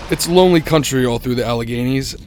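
A man's voice: mid-range voice, fast, noisy background, natural voice. An actor playing a haunted young motorist who tells his eerie story in a radio drama, grave and suspenseful. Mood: uneasy, suspenseful. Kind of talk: radio drama narration and acting.

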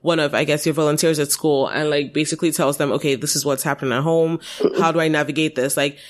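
A woman's voice: sits low, steady tone, fast talker. A woman co-hosting a podcast, who asks curious questions and keeps up frequent "mm-hmm"s. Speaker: podcast co-host. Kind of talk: casual podcast chat.

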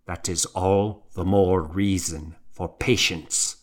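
A man's voice: low voice, fairly even intonation, slow. A man reading the part of a stern, cold monk who serves as the abbot's assistant, speaking in a clipped, disapproving way. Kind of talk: audiobook character voice.

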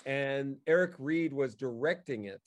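A man talking casually as he tells stories, in an animated way, acting out remarks that people made. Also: mid-range voice, measured pace. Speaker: guest co-host and theatre director. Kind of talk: casual storytelling in conversation.

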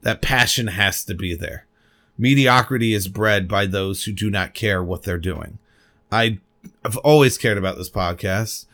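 A man's voice: on the low side, unhurried, bright, forceful tone. Rambling, candid male podcast host. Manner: swears casually. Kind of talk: solo podcast monologue. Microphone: desk microphone at a computer.

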